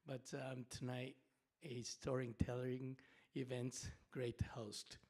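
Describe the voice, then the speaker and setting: A middle-aged man from Japan, a native Japanese speaker, hosting a storytelling night on a theater stage in a halting, chatty way with frequent laughs and fillers. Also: medium pitch, flat delivery, darkish tone, slightly rough. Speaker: host and storyteller. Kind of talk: casual live stage hosting.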